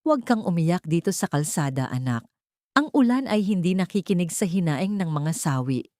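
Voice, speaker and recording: A woman voicing a sad old woman who speaks softly and hoarsely with quiet authority in an audio story. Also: fairly low pitch, very animated, normal speed, no echo, clean studio sound. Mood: gentle, grave. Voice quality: hoarse, soft.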